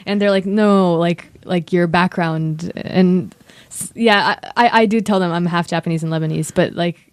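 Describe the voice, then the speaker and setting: A young American woman, a musician, answering interview questions in a hesitant, soft-spoken way. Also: on the low side, fairly even intonation, normal speed, clear, resonant tone, no room sound. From USA. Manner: soft-spoken, hesitant, many ums and likes. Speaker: guest musician.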